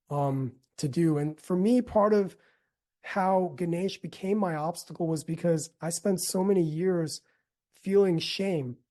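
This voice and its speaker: A middle-aged American man giving a reflective talk as a teacher, earnest and deliberate, repeating phrases for emphasis. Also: fairly high pitch, dark tone. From United States. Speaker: yoga teacher and speaker. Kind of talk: reflective spoken talk with personal storytelling.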